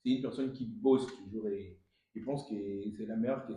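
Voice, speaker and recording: A man's voice: on the low side, moderate pace, dark tone. A young man hosting a casual conversational interview in a very echoey room. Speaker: podcast host.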